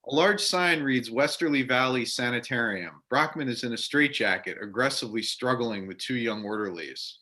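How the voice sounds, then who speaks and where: A man's voice: medium pitch, normal speed, few pauses, sharp tone. A man giving scripted narration, reading stage directions for an amateur readers theater play.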